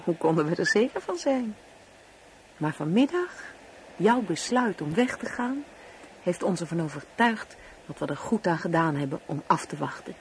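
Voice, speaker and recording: A woman's voice: fairly low pitch, big pitch swings, normal speed, rough recording. Radio drama: an affectionate married woman, tender and anxious to please, slight noise on the recording. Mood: earnest, apologetic. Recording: radio play with faint background noise.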